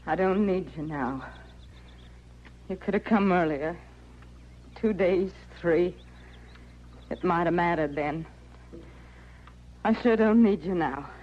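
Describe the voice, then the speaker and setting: A woman's voice: lowish voice, slow pace, pauses often. An actress playing a starving, bitter and exhausted frontier woman in an old-time radio western, terse and defiant. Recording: muffled, noisy old radio recording.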